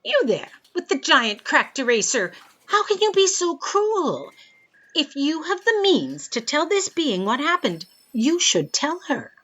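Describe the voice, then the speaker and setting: Scatterbrained female chief: slow, dramatic, highly animated, fairly high voice. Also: dull audio, exaggerated voice. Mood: indignant, commanding. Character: muddled, forgetful, tender-hearted female boss.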